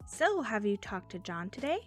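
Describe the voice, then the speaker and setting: Woman playing a cheerful, high-voiced teenage girl. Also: husky, exaggerated voice. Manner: playful, teasing, airy. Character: cheerful, quirky teenage girl chatting with a friend. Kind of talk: fan dub voice acting.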